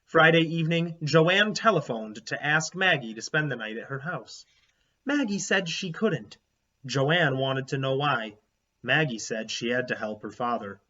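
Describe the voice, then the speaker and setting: A man's voice: medium pitch, lively intonation, unhurried, pushed and bright. A man reading a children's book aloud like a teacher, expressively and with explanatory asides. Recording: slightly dull, lo-fi sound.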